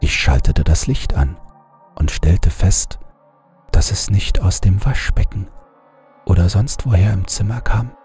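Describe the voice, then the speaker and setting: A man reading a horror story aloud in a calm, measured first-person narration. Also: low voice, soft background music.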